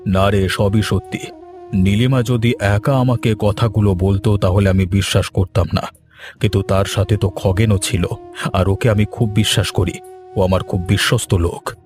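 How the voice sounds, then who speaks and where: A man's voice: on the low side, fairly even intonation, moderate pace, over music, natural voice. A man voicing an elderly, trusting landowner father in a cartoon. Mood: hurt, doubtful. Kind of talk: Bengali cartoon dubbing.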